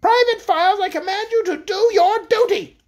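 A shrill, imperious queen from a storybook, acted out by a woman narrator, pitched very high. She is scornful and short-tempered, and she hands down commands as if to an army beneath her. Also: big pitch swings, brisk. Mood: furious. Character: haughty, imperious, quick-tempered young queen leading an army. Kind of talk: storybook character voice.

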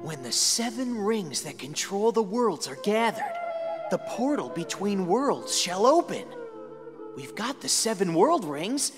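A man's voice: high voice, big pitch swings, a little slow. An actor playing a brave, cocky young male hedgehog hero in a video game, casual yet earnest. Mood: confident. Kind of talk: animated video game acting. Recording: very loud music behind.

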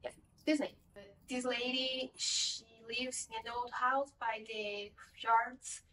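A young woman with Portuguese as her first language talks through a book review in a loose, rambling way, often stopping to fix her words. Her voice sits slightly high. She is recorded at home from a camera placed a little away from her, with faint room ambience underneath. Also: fairly even intonation, slow pace, pushed and bright, distant mic, a little hiss or hum, rough recording. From Portuguese-speaking country. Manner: chatty, rambling, self-correcting. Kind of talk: casual book review vlog.

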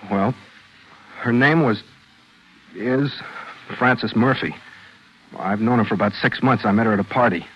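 An actor playing a guilt-ridden, obsessive ex-boyfriend in a 1940s radio drama, speaking with halting, emotional delivery. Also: male voice, medium pitch, husky. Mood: haunted, confessing. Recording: muffled vintage 1940s radio recording.

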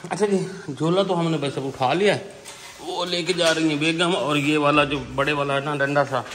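A man's voice: fairly high pitch, fairly even intonation, normal speed, a bit bright. A man, chatting casually and playfully as he films a family vlog at home. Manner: playful, bantering with his wife. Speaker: family vlogger, host. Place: indoors at home, kitchen and rooms. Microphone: phone at arm's length. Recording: noisy handheld home recording.

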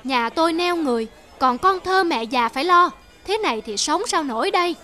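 A bitter peasant from a cartoon folktale, voiced by a woman. The high voice complains with the grievance of the poor, over clear background music. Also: expressive, moderate pace, pushed and bright, exaggerated voice. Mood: distressed. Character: aggrieved poor male villagers under a tyrant.

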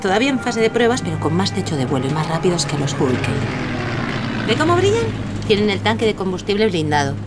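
A woman playing a poised, sharp young actress in an audio drama, formal and self-assured. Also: mid-range voice, sing-song, fast talker, loud music behind the voice, lightly acted.

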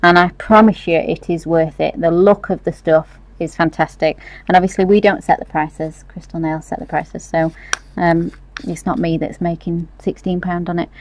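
Low-pitched British woman chatting casually. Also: little pitch movement, moderate pace, hardly any pauses, a little mellow. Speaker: nail technician tutor and host. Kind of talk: casual live demonstration chat. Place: nail salon studio, indoors.

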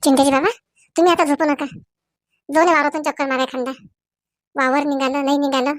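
A woman's voice: high voice, flat delivery, a little fast, dry recording, studio quality, natural voice. A woman voicing a bossy, chatty village housewife in a comedy cartoon, with a Varhadi Marathi accent and an emphatic delivery. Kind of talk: cartoon comedy dialogue and announcements.

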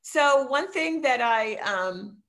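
A woman's voice: high-pitched, animated, slow pace, a bit bright. A woman in her fifties with a Philadelphia accent, a real estate coach telling her story over a video call, chatty and full of ums. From Philadelphia area. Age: 50s. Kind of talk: casual video-call interview. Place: home room, indoors.

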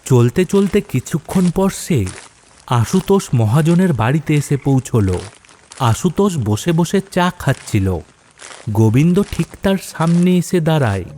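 A man's voice: fairly high pitch, big pitch swings, measured pace, warm tone, a little hiss or hum. A man giving scripted, dramatic narration for a horror story.

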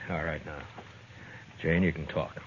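A tough, dry frontier marshal in an old radio western. His voice is low and he speaks in blunt, commanding, clipped phrases. The recording is muffled and phone-thin, with some hiss behind it. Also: flat delivery, unhurried. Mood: firm, questioning. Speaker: radio drama actor. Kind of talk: 1950s radio western acting.

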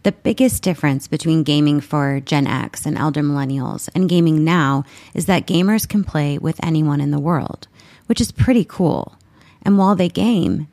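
A woman hosting a podcast, reading scripted narration in an earnest, measured way. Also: sits low, darkish tone. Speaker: podcast host. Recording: music bed underneath.

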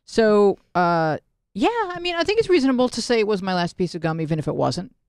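A woman's voice: medium pitch, sing-song, brisk, darkish tone, clear, resonant tone, no room sound. A middle-aged woman hosting a casual panel chat. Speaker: podcast host. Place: small podcast studio. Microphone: close studio microphone on arm.

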